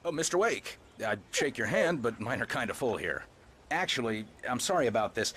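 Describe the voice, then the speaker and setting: An actor playing a friendly, easygoing park ranger in a video game, folksy and obliging in manner. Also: male voice, medium pitch, sing-song, moderate pace, a bit bright, a little hiss or hum.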